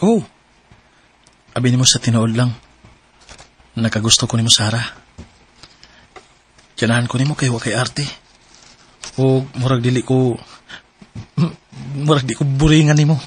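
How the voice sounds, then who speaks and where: Earnest husky-voiced young suitor. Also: male voice, medium pitch, steady tone, unhurried, pauses often. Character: earnest young man courting a woman. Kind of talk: radio drama acting.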